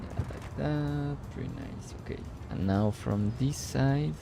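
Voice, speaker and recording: A man's voice: mid-range voice, takes it slowly, vocal fry, noisy background. A man streaming a city-building game live, speaking English as a second language, thinking aloud with puzzled exclamations and greeting chat viewers. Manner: non-native English speaker. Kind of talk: live gameplay commentary.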